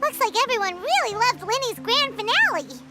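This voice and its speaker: Tiny cute game fairy, very high squeaky voice, animated swoops, excitable, music behind. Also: female voice, a little fast. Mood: curious, chatty. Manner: speaks of herself in third person. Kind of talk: video game voice acting.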